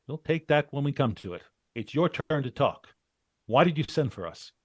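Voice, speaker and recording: A man acts out a businesslike leader of adventurers in a golden-age radio play. His mid-pitched voice swings expressively as he asks brisk, wry, probing questions. The sound is close and dry. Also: sing-song, dry recording. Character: shrewd, businesslike male adventurer leading his partners. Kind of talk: vintage radio drama acting.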